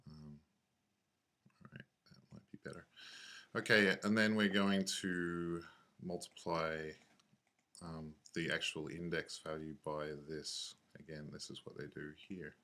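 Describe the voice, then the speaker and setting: A man giving a casual software tutorial, thinking aloud in a laid-back, offhand, slangy way. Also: low voice, fairly even intonation, a little slow.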